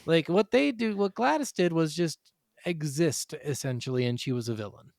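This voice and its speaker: A man with a slightly high voice chats casually as a podcast host about games. He is blunt and opinionated and swears freely. The sound is close and dry. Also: lively intonation, normal speed, vocal fry, a little mellow, no room sound.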